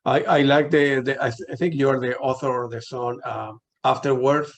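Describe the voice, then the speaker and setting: A man's voice: mid-range voice, fairly even intonation, moderate pace. A man, interviewing a guest in a casual chat, asking long rambling questions with fillers. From Chile.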